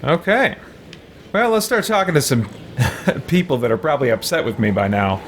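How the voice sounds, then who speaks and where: Animated man giving game commentary. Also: fairly high pitch, moderate pace, background noise. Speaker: host playing a roleplay video game series.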